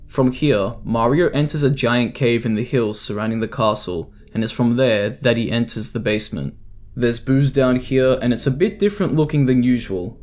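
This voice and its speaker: A man's voice: mid-range voice, darkish tone. A man reading scripted explanatory narration for a video essay, as its narrator.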